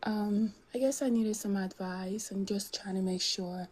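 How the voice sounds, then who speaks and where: A woman's voice: medium pitch, steady tone, a little slow, a little mellow, breathy edge. A woman calling in for advice, telling her story hesitantly with many "um"s and restarts. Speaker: caller seeking advice.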